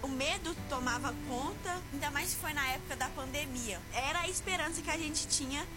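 A woman's voice: sits high, brisk, over music. A young woman from Belo Horizonte telling her own story in a heartfelt, plain way for a radio ad. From Belo Horizonte, Brazil. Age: young adult. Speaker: testimonial speaker. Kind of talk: personal testimony in an ad.

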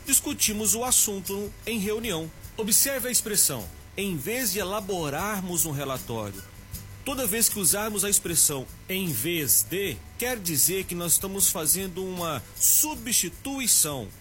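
A man with a Minas Gerais accent giving a Portuguese tip on the radio, slowing down to stress each example. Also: high voice, very animated, fairly bright tone, faint music behind. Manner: didactic, slows down to stress examples. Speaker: Portuguese teacher.

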